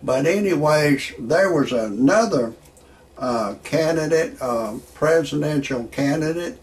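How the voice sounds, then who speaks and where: Rambling sixties man, gravelly voice. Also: a little high, expressive. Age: 60s. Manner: rambling, halting, with frequent "uh" fillers and restarts. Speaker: amateur online show host. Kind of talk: rambling monologue with stand-up jokes.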